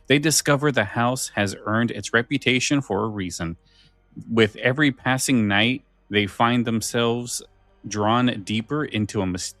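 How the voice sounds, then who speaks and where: A man co-hosting a podcast, moving between casual chat and read-out story summaries, sometimes halting in his phrasing. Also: medium pitch, a bit bright, no room sound. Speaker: podcast co-host.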